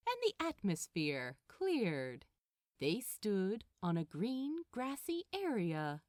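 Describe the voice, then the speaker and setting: A woman narrating a written story in an expressive audiobook style. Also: a little high, no room sound, studio quality. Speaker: narrator of a fan fiction audiobook.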